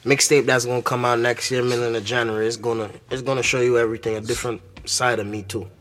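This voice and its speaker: A man from Barbados with a Barbadian accent, a musician talking about his music in a relaxed interview. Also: medium pitch, quick pace. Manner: laid-back, repeats "you know what I'm saying". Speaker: guest musician. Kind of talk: casual interview chat.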